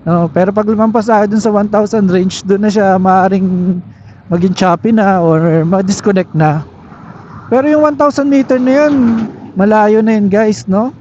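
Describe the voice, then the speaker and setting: A man's voice: high voice, a little slow, mellow. A man with a Filipino accent casually reviewing gear as a motovlogger, explaining things and often checking in with tag questions, recorded through a helmet intercom while riding. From Philippines. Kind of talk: casual product review to camera. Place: on a moving motorcycle, inside a helmet. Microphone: helmet intercom microphone. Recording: dull helmet intercom audio with riding noise. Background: faint vehicle noise.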